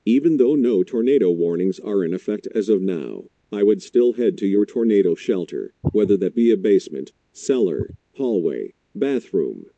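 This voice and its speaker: A man's voice: lowish voice, very animated, normal speed, gravelly, hardly any pauses, dark tone, no echo. A man playing a grave, emphatic TV meteorologist giving urgent live storm warnings. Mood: urgent advising. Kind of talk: live severe weather broadcast commentary. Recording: dull, muffled stream playback.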